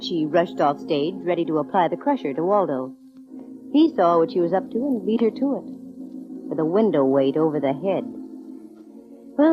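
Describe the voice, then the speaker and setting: Wry woman detective, vintage radio. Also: fairly low pitch. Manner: wry, slangy, hard-boiled delivery. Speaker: lead radio actress. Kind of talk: 1940s radio drama acting. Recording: dull vintage radio recording, music behind.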